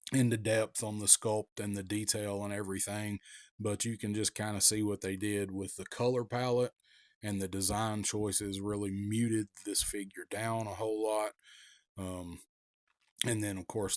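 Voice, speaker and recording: A man with a cold, raspy, giving a casual, rambling toy review with many ums and restarts. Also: on the low side, no echo, studio quality.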